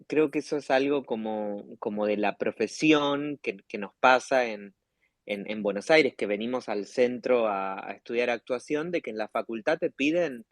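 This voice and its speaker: An Argentine man giving personal testimony in a discussion, haltingly, often repeating words as he searches for the next. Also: mid-range voice, no room sound. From Salta, northern Argentina. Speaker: panel guest, actor.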